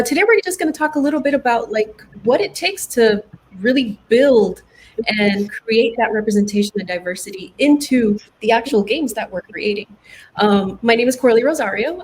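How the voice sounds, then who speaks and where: Woman moderating a panel, faint background noise. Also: on the high side. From Puerto Rico. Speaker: panel moderator, game UX designer.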